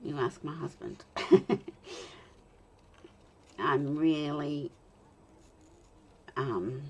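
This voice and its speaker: An Australian woman in her fifties, chatting and talking to herself while she demonstrates card making, with a slightly croaky voice. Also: low voice, very slow. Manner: rambling, self-talk with soft laughs. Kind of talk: chatty crafting demo.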